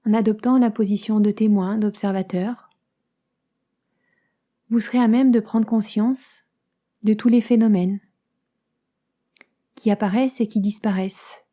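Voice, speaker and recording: A woman guides a yoga nidra meditation as a teacher, calm and soothing, in soft phrases that turn breathy at the ends, with many pauses. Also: mid-range voice. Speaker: yoga nidra teacher guiding a relaxation session.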